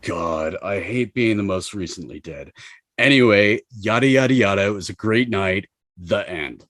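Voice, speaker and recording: A man acting out a cocky finance-bro ghost at a table read. His voice sits fairly low and is recorded dry, with a boastful manner that is easily exasperated. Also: moderate pace, fairly bright tone, no echo, natural voice.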